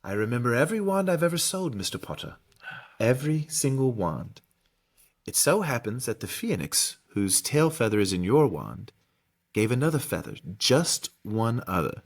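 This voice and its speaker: A man voicing an eccentric old wandmaker from a storybook, ominous and mysterious, with slow, deliberate, savoring delivery. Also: mid-range voice, big pitch swings. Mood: ominous, hushed.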